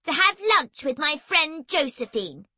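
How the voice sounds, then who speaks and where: A woman's voice: high voice, very animated, moderate pace, bright, forceful tone, no echo, voice pushed up, full character voice. A woman voicing a haughty, unfriendly young girl in a children's storybook, a big sister with a curt, indignant manner.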